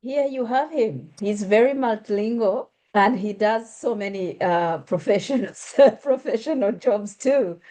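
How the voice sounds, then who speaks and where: A woman's voice: medium pitch, unhurried, hardly any pauses, warm tone. A Ugandan woman hosting an interview, talking with frequent fillers and restarts as she introduces her guest and asks questions. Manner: hesitant, many uh fillers and restarts. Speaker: host. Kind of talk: conversational interview.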